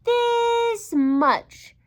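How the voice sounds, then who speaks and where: A woman's voice: extremely high pitch, animated, extremely slow, pitched above the performer's own voice, full character voice. A woman voicing an eager, loving young male hare in a picture-book reading. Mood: eager affection. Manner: earnest, emphatic.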